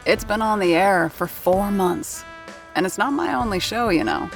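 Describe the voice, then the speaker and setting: An actress voicing a wry, sharp-tongued woman who does radio sound effects in a 1930s theater, narrating in character in an audio drama. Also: mid-range voice, animated, normal speed, creaky, soft background music. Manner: wry, sardonic.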